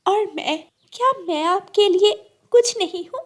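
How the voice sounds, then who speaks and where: A woman's voice: very high-pitched, unhurried, voice pushed up. A woman voicing a meek, sweet young wife bird in a cartoon story, deferential and plaintive. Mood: tearful pleading. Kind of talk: Hindi cartoon story acting.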